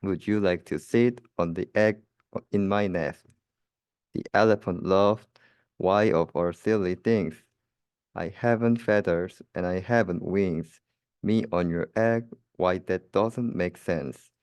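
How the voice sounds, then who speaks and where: A young man reading a children's rhyming story aloud in a careful, slightly halting manner. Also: deep voice, darkish tone, clear, resonant tone, dry recording. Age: young adult. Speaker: student reader.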